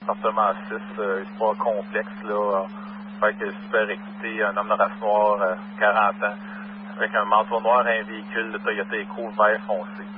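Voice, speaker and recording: Tinny police-radio man, Quebec French, flat delivery. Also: on the low side, normal speed, background noise. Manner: clipped, procedural. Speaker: police dispatcher. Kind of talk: terse radio dispatch.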